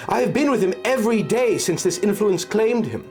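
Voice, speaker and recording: Man putting on a young noblewoman, high and lilting, intense, resolute, faint music behind. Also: expressive, a little fast. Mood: devoted, anxious. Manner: intense, pleading then commanding. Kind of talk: audio story reading.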